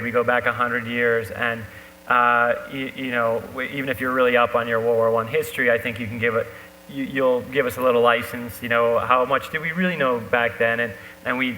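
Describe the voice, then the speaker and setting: A man discussing ideas on stage as a guest, thoughtful and halting as he circles toward his point. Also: mid-range voice, bright, forceful tone. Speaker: panel guest, novelist. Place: live talk event hall. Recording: reverberant hall with noise and hum.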